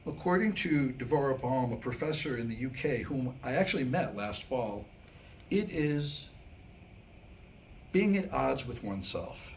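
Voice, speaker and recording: An American man performing a staged comic monologue in a wry, self-deprecating way, with deliberate pauses around his punchlines. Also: medium pitch, animated. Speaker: writer and performer reading his own new comic script. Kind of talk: staged comedic monologue to a live audience. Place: live staged reading before a small audience. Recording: noisy live room recording.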